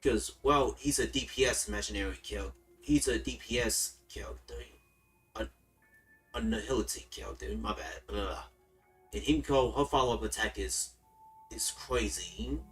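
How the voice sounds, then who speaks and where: A man's voice: fairly low pitch, normal speed, a bit bright. A man from the United States casually commenting while streaming a game, laid-back and rambling, often repeating words. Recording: streamer mic a bit distant with game music.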